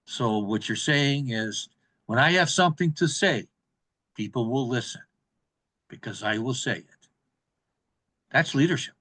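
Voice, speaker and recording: A man delivering an evaluation speech at a public speaking club in an emphatic, deliberate manner. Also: medium pitch, lively intonation, pushed and bright.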